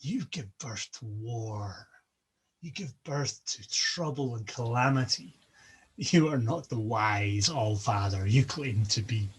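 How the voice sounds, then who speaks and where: A man's voice: medium pitch, expressive, takes it slowly, husky, pitched below the performer's own voice. A man voicing a scornful, taunting male trickster god at a feast, mocking each guest in turn.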